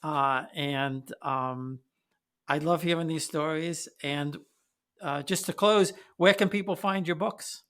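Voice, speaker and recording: A man hosts a casual podcast conversation in a friendly manner. His voice is bright and forceful, sitting a little high for a man. He talks haltingly, with plenty of fillers between thoughts. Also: fairly even intonation, smooth. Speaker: podcast host.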